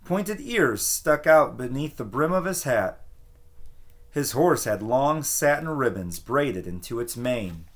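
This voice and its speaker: A man reading a children's picture book aloud as a teacher, with an expressive storytelling cadence. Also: mid-range voice, slow.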